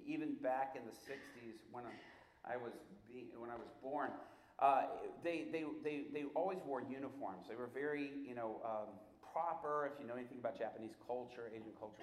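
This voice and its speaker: Male workshop preacher, middle-aged, medium pitch, conversational with frequent restarts, recorded with noticeable room echo. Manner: conversational, halting, often restarts and repeats himself. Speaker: church workshop teacher. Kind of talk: informal teaching talk to a group. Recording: echoey room.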